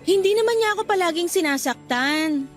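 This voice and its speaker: An actress with a Filipino accent playing a meek, forgiving young woman in a radio drama, apologetic and soft-hearted. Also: extremely high pitch, expressive, fairly quick, faint music behind. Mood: defensive, pleading. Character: meek, forgiving young woman excusing her jealous boyfriend.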